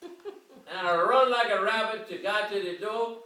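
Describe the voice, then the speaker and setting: A man's voice: high-pitched, unhurried, bright, forceful tone, recorded from a distance. An older man giving a theatrical bedtime read-aloud of a dialect verse story. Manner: theatrical, reading in Cajun dialect. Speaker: reader of a children's story. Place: living room armchair, indoors. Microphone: handheld camera a few metres away. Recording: roomy home recording from across the room.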